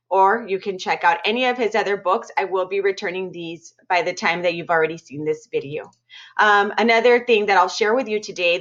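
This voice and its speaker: A woman's voice: mid-range voice, a bit bright. Dull-sounding recording of a woman reading aloud. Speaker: middle school teacher reading aloud to students. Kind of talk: expressive read-aloud storytelling with casual asides to students. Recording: dull, muffled lo-fi audio.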